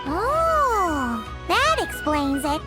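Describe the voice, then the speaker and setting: A woman voicing a tiny, childlike floating companion in a video game, chirpy and excitable, with a squeaky voice. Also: drawn-out words, exaggerated voice. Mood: curious. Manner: refers to self in third person, exclaiming. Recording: music behind the voice.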